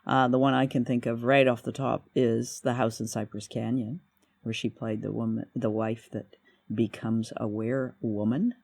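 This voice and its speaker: A man from Vancouver with a Canadian accent, hosting a conversational review show in a measured, slightly hesitant way. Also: mid-range voice, little pitch movement, creaky, a little mellow, dry recording. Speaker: podcast host. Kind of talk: conversational radio drama review.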